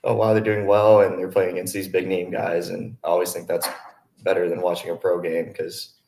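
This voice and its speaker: Deep-voiced young man in an echoey room. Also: little pitch movement, a little fast. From Hawaii, USA. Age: 20s. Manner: easygoing, mellow. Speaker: college baseball player, interview guest. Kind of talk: casual interview chat. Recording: roomy, echoing sound.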